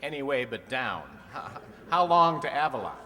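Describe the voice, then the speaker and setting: A man's voice: medium pitch, lively intonation, moderate pace, bright, forceful tone. A man playing a wisecracking, teasing comedian who hosts an old-time radio quiz show, needling guests with mock-obtuse questions. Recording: echoey hall with long reverb.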